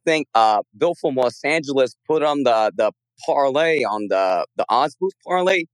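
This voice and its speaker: A man calling into a sports show while losing his voice, speaking haltingly with many pauses and fillers. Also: mid-range voice, expressive, smooth, no echo. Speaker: caller. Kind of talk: call-in chat.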